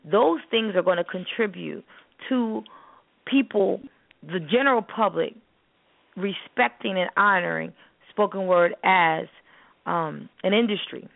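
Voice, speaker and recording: Woman, medium pitch, gravelly creak, emphatic and instructive, opinionated show discussion, muffled phone-quality recording. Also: measured pace, no room sound. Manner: emphatic, instructive, repeats phrases for stress. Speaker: co-host of a spoken word business show; spoken word artist. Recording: muffled narrowband phone-line sound.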